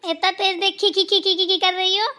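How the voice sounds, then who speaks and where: A woman's voice: very high voice, flat delivery, fairly quick, pushed and bright. A woman voicing an elderly mother-in-law who rambles through stories and scolds playfully. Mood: chatty. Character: elderly mother-in-law, chatty, teasing, fond.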